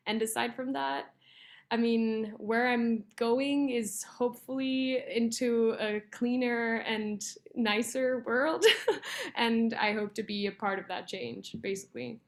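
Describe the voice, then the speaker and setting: A young woman from Argentina, a guest on an interview, chatting casually with frequent laughs and filler words. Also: medium pitch. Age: young adult. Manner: chatty, laughs often, many ums and likes. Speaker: guest, translator.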